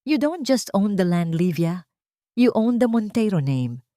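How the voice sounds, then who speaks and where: A woman's voice: medium pitch, sing-song, a little slow, warm tone, dry recording. A woman plays an older Filipino lawyer in an audio story. He is solemn and compassionate, weighing his words. The sound is very dry and close. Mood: grave, revealing.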